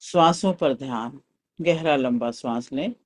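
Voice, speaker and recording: A man leading a guided meditation as a teacher, calm and soothing, giving unhurried instructions. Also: mid-range voice. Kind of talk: guided meditation with breath counting and mantra chanting.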